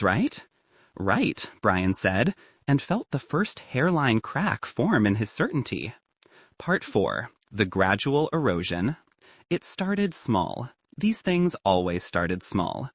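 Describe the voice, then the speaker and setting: Animated, slightly husky male audiobook narrator. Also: fairly low pitch, no room sound. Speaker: story narrator.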